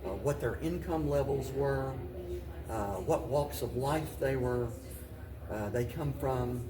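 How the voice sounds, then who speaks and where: Halting older man, roomy distant recording. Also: mid-range voice, a crowd in the background. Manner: halting, reflective, repeats and restarts phrases. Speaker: mayor, talk show guest. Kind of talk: conversational interview answers. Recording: roomy, distant mic, voices in background.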